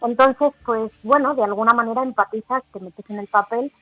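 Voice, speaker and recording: A woman's voice: on the high side, a little fast, a bit bright, dry recording, lo-fi sound, faint music behind. Woman from Spain, close dry sound. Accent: Spain Spanish. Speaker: radio show co-host. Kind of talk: casual chat.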